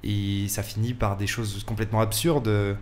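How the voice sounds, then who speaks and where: A man's voice: fairly low pitch, flat delivery, normal speed, a little hiss or hum. A man speaking as a guest in a casual radio interview, explaining things and often restarting or repeating words. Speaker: film set decorator, radio guest. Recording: music playing behind the voice. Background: music.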